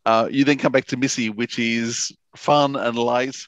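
A man's voice: mid-range voice, fairly even intonation, measured pace, fairly bright tone, no room sound, dull audio. Middle-aged man, dry close headset sound. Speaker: podcast interviewer. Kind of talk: casual interview chat.

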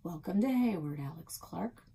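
An American woman voicing a gruff, suspicious small-town sheriff in a storybook read-aloud, folksy and pointed. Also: lowish voice, expressive, moderate pace, warm tone, full character voice. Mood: measured.

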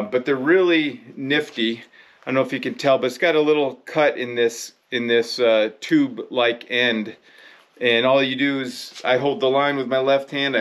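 A middle-aged American man in his fifties presenting fishing gear in a casual, instructional vlog style, explaining in a halting, conversational way. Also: medium pitch, fairly bright tone. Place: indoor room with rod racks.

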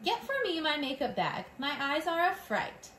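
A woman's voice: high voice, sing-song, moderate pace, a bit bright, echoey room, a little hiss or hum, pitched above the performer's own voice. A woman voicing a vain, melodramatic French mother mouse with a put-on French accent, sighing and theatrical. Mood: self-pitying. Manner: theatrical, sighing, self-pitying.

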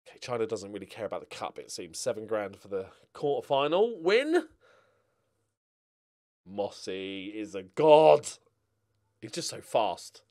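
A man's voice: fairly low pitch, big pitch swings, unhurried, pauses often, a little mellow. A middle-aged man giving casual, sweary commentary on a football manager game, talking to his players and viewers into a close mic. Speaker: gaming streamer. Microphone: close desk microphone.

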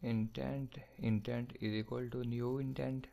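Man, coding tutor voice-over: gravelly, flat delivery, slow step-by-step dictation of code. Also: mid-range voice. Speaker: programming tutor.

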